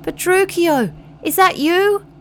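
A woman voicing a kind, gentle male four-legged animal who speaks earnestly. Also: high voice, normal speed, close to an everyday voice. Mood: concerned.

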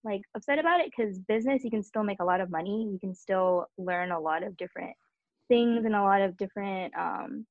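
A woman's voice: mid-range voice, brisk, dry recording, narrow, tinny audio. A young woman talking casually on a podcast, chatty, with frequent fillers. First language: Cantonese and English. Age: young adult.

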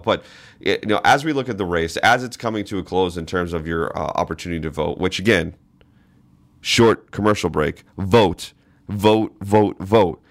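A man hosting a podcast, giving political commentary in an emphatic way and repeating phrases for stress. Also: low-pitched. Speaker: podcast host.

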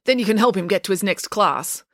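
A woman's voice: medium pitch, animated, fairly quick, fairly bright tone, no echo, clean studio sound, lightly acted. A woman voicing a stern, indignant older male school counsellor. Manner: blustering, indignant.

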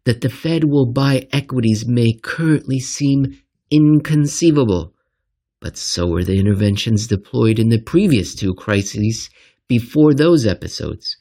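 A man reading a scripted financial essay aloud with emphatic, deliberate phrasing and a wry edge. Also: medium pitch, lively intonation, warm tone, husky.

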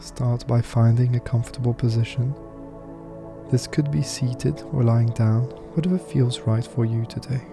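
A man leading a guided meditation with affirmations, soft-spoken and soothing, leaving unhurried pauses. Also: medium pitch, steady tone, over music.

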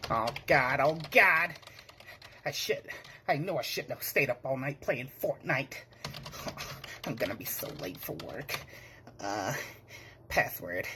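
A man's voice: medium pitch, animated, slow pace, long pauses. Man acting a nervous bumbling tech-support rookie, noisy recording. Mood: flustered, sheepish. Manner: hesitant, apologetic, stammering, whiny. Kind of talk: comedic character monologue. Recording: clearly noisy background, keyboard clicks.

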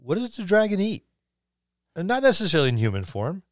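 A man's voice: high voice, wildly swooping pitch, brisk, no room sound, studio quality. A man co-hosting a podcast, talking casually about tabletop gaming. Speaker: podcast co-host.

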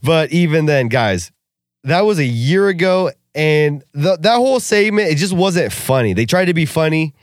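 A young man co-hosting a podcast, talking casually with animated, profane rants. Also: on the high side, moderate pace, no echo, clean studio sound. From Russian family background. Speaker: podcast co-host.

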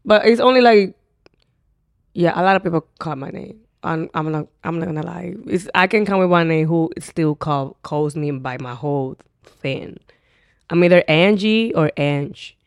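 A woman's voice: fairly low pitch, normal speed, smooth, dry recording, clean studio sound. A woman with a Dominican accent and New York touches, a Spanish speaker, chatting bluntly and jokingly on a podcast. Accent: Dominican Spanish with New York English. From Dominican Republic. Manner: blunt, joking, swears freely. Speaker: podcast co-host. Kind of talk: casual chat.

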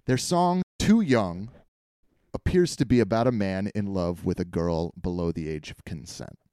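A man's voice: deep voice, normal speed, mellow, smooth, no echo, clean studio sound. A man hosting a podcast, telling a comedic story in a wry, irreverent way. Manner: wry, irreverent, swears casually.

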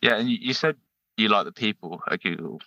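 Young student interviewer, deep voice, rapid-fire questions, close dry muffled sound. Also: male voice, expressive, sharp tone, no room sound. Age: young adult.

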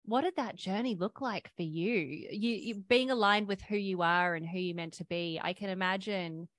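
A woman's voice: mid-range voice, normal speed, a bit bright. A woman hosting a podcast interview, asking curious questions in a casual way and often saying "like". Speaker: podcast host, doctor.

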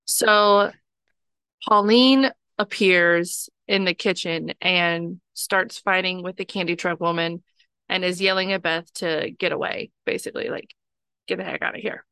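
Young adult woman, podcast co-host; bright, dry, a bit low-pitched, steady recap delivery. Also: a little slow, clean tone, no echo. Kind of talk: casual chat recapping a film.

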